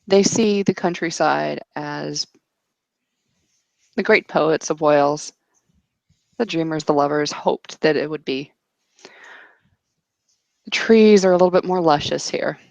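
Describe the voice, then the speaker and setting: Woman, game master, poetic improvised narration, hesitant, slightly low creaky voice, dry sound. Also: no room sound. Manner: poetic, hesitant, searching for descriptions. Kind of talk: improvised tabletop roleplay narration.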